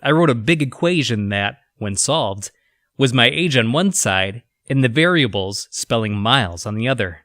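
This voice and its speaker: A man's voice: mid-range voice, lively intonation, moderate pace, a bit bright, dry recording, clean studio sound, close to an everyday voice. Smug, grudging male scientist played by an actor. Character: arrogant, antisocial male virus researcher writing his journal. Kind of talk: audio drama journal monologue.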